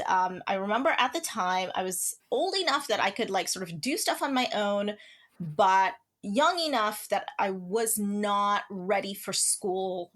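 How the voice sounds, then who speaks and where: A woman's voice: mid-range voice, a bit bright. A woman from Trinidad and Tobago, chatting as an author guest on an interview podcast, rambling with lots of fillers and restarts. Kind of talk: casual interview conversation.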